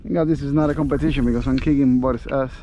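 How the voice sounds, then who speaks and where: A man filming himself fishing from a small boat in a mangrove bay, talking excitedly and laughing, chatting to the fish as he reels it in. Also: mid-range voice, rapid-fire, dull audio, background noise. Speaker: fishing vlogger. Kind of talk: casual play-by-play while fishing.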